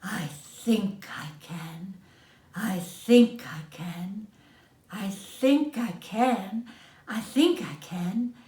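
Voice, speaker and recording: A woman plays a small storybook train engine, female and kind. She speaks softly and modestly with steady resolve, unhurried, in an echoey room. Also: mid-range voice. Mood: quietly determined. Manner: gentle, soft-spoken. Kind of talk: children's storybook character voice.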